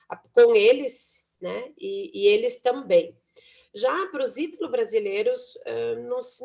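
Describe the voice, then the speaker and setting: A woman's voice: mid-range voice, a little mellow. Woman lecturing over dull lo-fi audio. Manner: measured, frequent "né" tags and self-corrections. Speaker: university lecturer presenting research. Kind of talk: academic presentation. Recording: dull lo-fi online talk audio.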